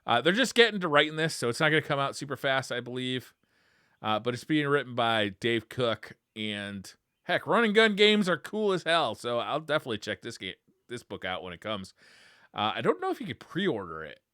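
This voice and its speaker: A man's voice: mid-range voice, sing-song, a little fast, bright, forceful tone, clear, resonant tone, no echo, studio quality. A man co-hosting a podcast, chatting casually and enthusiastically about video game news in informal, slangy language. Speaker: podcast co-host.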